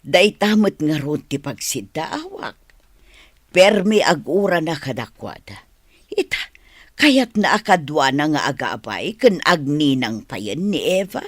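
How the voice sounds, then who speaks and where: A woman's voice: deep voice, very animated, measured pace, breathy edge, dry recording, studio quality, heavily acted. A woman with a Filipino accent playing an emotional, resentful mother in a radio drama. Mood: resentful, indignant.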